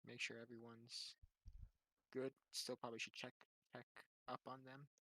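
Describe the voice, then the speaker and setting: A player in a tabletop game, chatting casually and thinking out loud. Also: mid-range voice, level tone, moderate pace, no echo, poor audio. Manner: conversational, thinking aloud.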